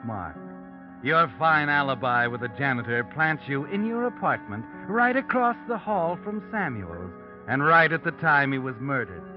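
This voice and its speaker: A man's voice: medium pitch, natural voice. A man playing a taunting, all-knowing narrator in a 1940s radio mystery, speaking theatrically to a guilty man. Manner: taunting, theatrical second-person address. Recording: dull old radio recording, loud music behind.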